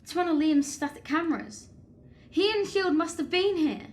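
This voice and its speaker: A woman's voice: extremely high pitch, expressive, moderate pace. A woman playing an anxious, inquisitive young female companion in an audio drama, earnest and questioning. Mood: puzzled.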